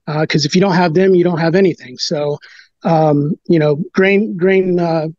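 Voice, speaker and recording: Halting man on a dull video call. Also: a little high, steady tone, darkish tone, no room sound. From United States. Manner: halting, many fillers and restarts. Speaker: brewer, interview guest. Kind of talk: casual interview talk.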